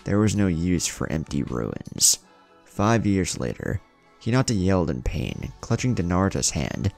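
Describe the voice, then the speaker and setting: A man narrates a story in an exceptionally deep voice. His delivery is dramatic and lively, swinging in pitch, with a gravelly creak. Music plays underneath. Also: unhurried. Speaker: fan fiction narrator. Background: background music.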